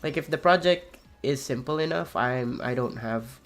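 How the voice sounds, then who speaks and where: A young man with a raspy, gravelly voice talks loosely while he plays, trailing off and restarting his sentences. His pitch sits in an ordinary middle range. Faint game music plays underneath. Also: moderate pace. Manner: rambling, soft-spoken, many fillers and restarts. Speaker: streamer. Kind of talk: casual chat while playing a game.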